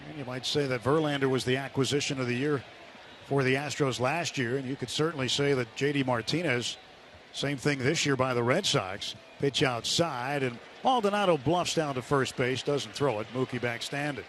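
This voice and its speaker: A man's voice: medium pitch, normal speed. A man calling a baseball game play-by-play on live radio. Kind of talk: live radio sports commentary. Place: stadium broadcast booth. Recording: noisy outdoor ballpark broadcast sound. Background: loud ballpark ambience.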